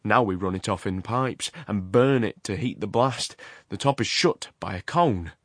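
A man voicing a forceful, loquacious ironworks man in a storybook reading, with emphatic, abrupt delivery and an undertone of menace. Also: on the low side, normal speed, no room sound, studio quality. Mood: insistent, expansive. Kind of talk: dramatized storybook narration.

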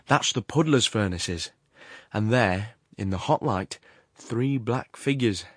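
A man voicing a forceful, loquacious ironworks man in a storybook reading, with emphatic, abrupt delivery and an undertone of menace. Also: mid-range voice, no echo, clean studio sound, lightly acted. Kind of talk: dramatized storybook narration.

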